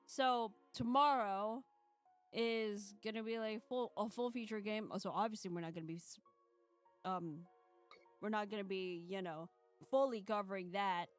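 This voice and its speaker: A woman's voice: mid-range voice, normal speed, soft background music. A woman hosting a livestream, chatting casually in a rambling, hesitant, jokey way. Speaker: livestream host.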